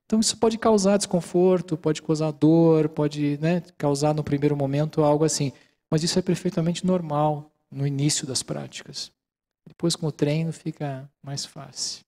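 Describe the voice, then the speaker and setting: A middle-aged Brazilian man giving a calm, explanatory lecture in a large auditorium, speaking into a handheld microphone and often checking in with the audience. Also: a little high, normal speed. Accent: Brazilian Portuguese. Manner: calm, explanatory, many fillers like "né". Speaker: mindfulness lecturer. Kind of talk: relaxed lecture with audience questions.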